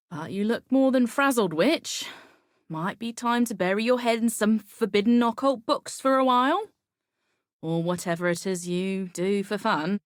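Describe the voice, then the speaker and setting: A woman's voice: medium pitch, no echo, studio quality, lightly acted. A woman playing a roguish, plain-spoken pirate sea captain in a video game, with a salty, cocky, colloquial way of talking. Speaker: game voice actor. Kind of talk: video game character dialogue.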